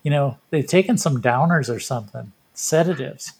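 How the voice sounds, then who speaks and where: A man's voice: medium pitch, expressive, moderate pace, vocal fry, background noise. A man co-hosting a sports podcast, giving casual, reflective baseball commentary and thinking aloud.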